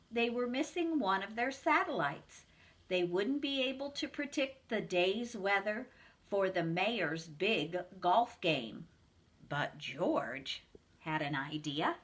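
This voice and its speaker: A woman, a preschool teacher, reading a picture book aloud to children in a slow, expressive storytelling voice. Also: medium pitch.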